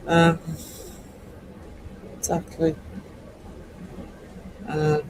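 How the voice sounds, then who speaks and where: A man in his sixties with a fairly high voice, hosting a livestream in a loose, chatty way. He reads out viewer comments, teases people and laughs often. A faint hiss sits under his voice. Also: monotone, drawn-out words. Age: 60s. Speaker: livestream host. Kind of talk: casual chat reading viewer comments.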